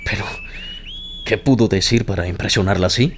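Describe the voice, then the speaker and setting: An actor plays an attentive, admiring doctor of polite manners in a radio drama. His voice sits a little low and he probes gently, as a gentleman would. A faint ambient noise lies behind him. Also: fairly quick, lightly acted. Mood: earnest, encouraging.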